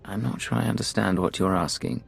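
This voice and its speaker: A man's voice: on the low side, a little fast, gravelly, faint music behind. A man playing a hard, guarded secret agent in a video game, speaking curtly and defiantly. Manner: curt, guarded. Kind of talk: video game cutscene voice acting.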